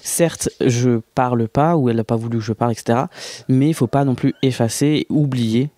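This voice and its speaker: A man in his twenties from Réunion, a podcast guest speaking casually and hesitantly with many pauses. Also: medium pitch, mellow, dry recording. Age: 20s. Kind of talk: casual conversation.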